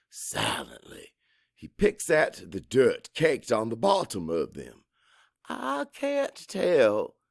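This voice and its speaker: A crude, cocky salesman , voiced by a male narrator, tells his story with brash scorn. His pitch swings dramatically while the pace stays on the slow side, in a dry, close audiobook recording. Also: no room sound, full character voice. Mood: sullen, suspicious. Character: crude, cocky salesman, macho, resentful, foul-mouthed.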